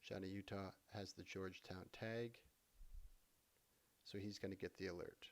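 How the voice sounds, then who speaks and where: A man narrating a software tutorial in a casual, conversational way, explaining things step by step as an instructor. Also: deep voice, fairly even intonation, moderate pace, gravelly. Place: voice-over for a screen recording.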